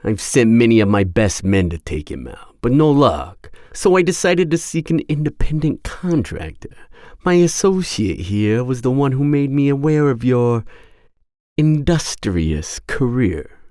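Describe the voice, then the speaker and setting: A man's voice: mid-range voice, sing-song, measured pace, warm tone, no room sound, studio quality. Haughty, swooping crime boss, acted by a man. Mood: smug, disdainful. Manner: smug, haughty, businesslike. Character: imperious male crime boss, cold and impatient. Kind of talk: audio roleplay drama.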